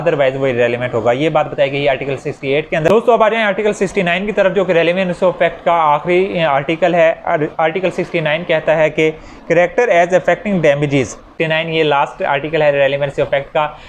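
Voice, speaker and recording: Man, Pakistani accent, slightly high voice, conversational teacher, step-by-step explaining, rhetorical questions, faint background noise. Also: fairly even intonation, normal speed. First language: Urdu. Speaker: law teacher. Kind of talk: informal explanatory lecture with worked examples. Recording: noisy recording with background ambience.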